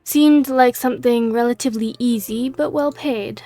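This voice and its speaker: A woman's voice: fairly high pitch, moderate pace, dark tone, soft background music, close to an everyday voice. A woman reading the lines of a female monster hunter adventurer in her own plain voice, slightly losing her voice. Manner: reads dialogue aloud in her own plain voice.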